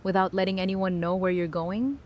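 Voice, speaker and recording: A woman's voice: medium pitch, steady tone, fairly quick, a little mellow, natural voice. A woman playing a blunt, suspicious and confrontational character in an audio drama, speaking in a challenging way. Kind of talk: fan audio drama dialogue. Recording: background noise and ambience.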